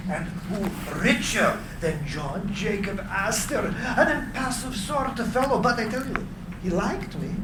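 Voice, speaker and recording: Male actor as elderly eccentric inventor, boastful and excitable, widely swinging intonation, drama read over clear background noise. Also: high-pitched, unhurried. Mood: nostalgic, boastful. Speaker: actor in a script reading. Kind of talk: live screenplay reading. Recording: noisy, roomy live reading.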